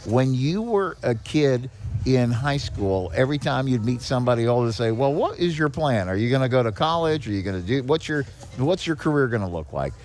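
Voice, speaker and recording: A man's voice: medium pitch, sing-song, fairly quick, darkish tone. A man speaking as a podcast guest, explaining things in a conversational way with rhetorical questions and frequent check-ins. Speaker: guest, executive director of a seniors nonprofit.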